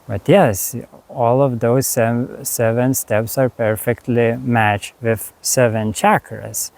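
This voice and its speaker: Slow-talking Lithuanian-accented man. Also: mid-range voice, steady tone, vocal fry, darkish tone. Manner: unhurried, halting, frequent fillers and restarts. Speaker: spiritual course teacher. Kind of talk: reflective monologue.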